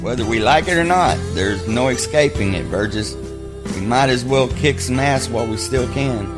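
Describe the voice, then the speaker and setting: An actor playing a folksy, crude, wisecracking buddy in an audio drama, blunt and game for a fight. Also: male voice, mid-range voice, normal speed, music in the background, lightly acted. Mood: blunt, goading.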